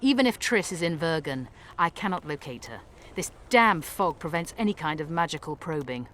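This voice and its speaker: A woman voicing a self-assured, imperious court sorceress in a video game, crisp and scornful in manner. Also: sits low, expressive, normal speed, fairly bright tone, slight background noise. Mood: dismissive. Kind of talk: video game dialogue acting. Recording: music behind the voice.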